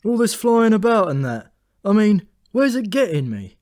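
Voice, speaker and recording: A grumbling raven from a comic fantasy storybook, acted out by a man, pitched up and irritable. He talks bluntly and colloquially, like someone fed up with his job, in a dry, close recording. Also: very animated, normal speed, darkish tone, no room sound. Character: grumbling, short-tempered, world-weary male talking raven. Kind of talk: comic fantasy audiobook character voice.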